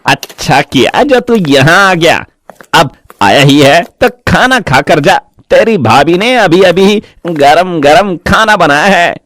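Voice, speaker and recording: An actor playing a grumpy, bossy village husband in a cartoon story, sarcastic and scolding. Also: male voice, fairly high pitch, animated, slow pace, fairly bright tone, no room sound, studio quality. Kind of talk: Hindi cartoon story acting.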